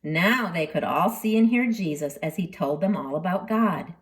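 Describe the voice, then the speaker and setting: A woman storyteller reading expressively to children, dramatic in delivery, with deliberate pauses and emphasis. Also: lowish voice, lively intonation.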